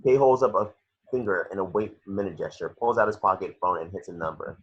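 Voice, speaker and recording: Brief: male narrator for stage directions, low husky voice, home table read, muffled lo-fi sound. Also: fast talker. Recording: dull lo-fi home recording.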